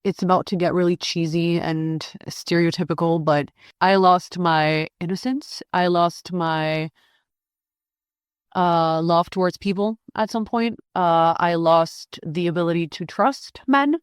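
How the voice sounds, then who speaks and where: Young woman, French native speaking English, lowish smooth voice, dry close sound, hesitant fillers, candid guest. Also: fairly even intonation, measured pace, no room sound. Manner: hesitant, many fillers and restarts. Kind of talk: candid podcast conversation.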